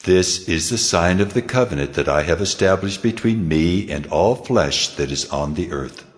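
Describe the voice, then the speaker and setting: A man's voice: low voice, expressive, moderate pace. A man playing God as a solemn, commanding deity in a dramatised Bible audio reading. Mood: solemn, promising. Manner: solemn, authoritative. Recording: long cavernous reverb.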